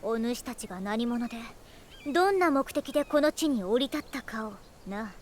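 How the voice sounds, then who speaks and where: A woman's voice: on the high side, expressive, normal speed, slight background noise, lightly acted. A woman playing a bossy girl adventurer in an anime game who talks in haughty, old-fashioned elderly phrasing. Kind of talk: Japanese anime game voice acting. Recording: loud music behind the voice.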